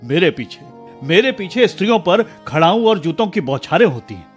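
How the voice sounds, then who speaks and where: A principled young husband in a radio drama. His voice is high for a man and very animated, earnest and emphatic, with light music underneath. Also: normal speed. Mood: firm indignation. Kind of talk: Hindi radio drama acting.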